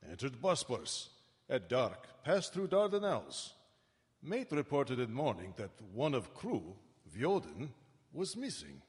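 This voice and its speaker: An actor playing a weary, grave sea captain in a live radio play, speaking in solemn, clipped phrases with a husky voice. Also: male voice, medium pitch, exaggerated voice. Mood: stern, declaiming. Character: weary, grave sea captain reading his ship's log. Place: town hall stage. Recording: echoey live hall.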